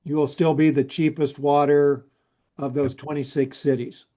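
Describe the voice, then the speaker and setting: A man presenting water rates to a council over a video call, halting and full of restarts and fillers. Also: a little high, little pitch movement, warm tone. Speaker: utility rate consultant. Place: remote, joining by video call.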